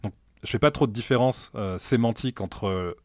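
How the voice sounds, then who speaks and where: Deep-voiced man in his late thirties, dry sound. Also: a little fast, no room sound. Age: late 30s. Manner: relaxed, thoughtful, many hesitations. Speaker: music director at a streaming platform, guest. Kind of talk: casual conversational interview. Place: meeting room at the streaming company's office.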